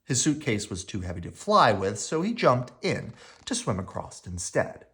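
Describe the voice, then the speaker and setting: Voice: medium pitch, big pitch swings, moderate pace, a bit bright. A storyteller reading a children's picture book aloud in a dramatic, playful manner. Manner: dramatic, with playful sound words. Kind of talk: animated read-aloud storytelling.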